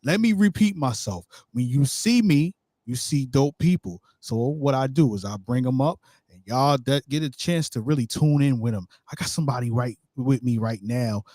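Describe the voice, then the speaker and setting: A man's voice: medium pitch, normal speed, warm tone, no echo, studio quality. Laid-back Brooklyn man hosting a live stream. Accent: New York. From Brooklyn, New York. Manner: laid-back, repeats catchphrases like "you get what I'm saying". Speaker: host. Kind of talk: casual live-stream interview.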